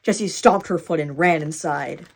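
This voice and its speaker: A woman reading a children's picture book aloud in an expressive, dramatic way with excited asides. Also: deep voice, moderate pace, breathy edge. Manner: animated, dramatic, cheering reader.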